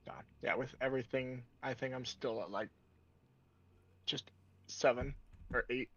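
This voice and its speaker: A man's voice: mid-range voice, steady tone, unhurried, muffled recording. A man talking casually as a player in a tabletop game, hesitant and feeling his way through his turn.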